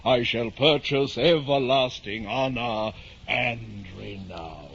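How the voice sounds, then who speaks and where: An actor playing a grandiose, deluded gentleman of about fifty in a declamatory old radio drama style. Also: medium pitch, slow, faint music behind, heavily acted. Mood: grandiose zeal. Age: middle-aged. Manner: pompous, declamatory. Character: deluded, grandiose gentleman nearing fifty, would-be knight-errant. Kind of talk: 1950s radio drama acting. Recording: husky-sounding, dull vintage radio recording.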